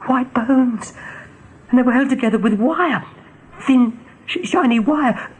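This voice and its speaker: A woman's voice: fairly high pitch, unhurried, husky. A woman playing a small, young, fearful runt rabbit who sees visions, speaking with trembling urgency in a radio drama. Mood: shaken, determined. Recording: noisy old radio play recording.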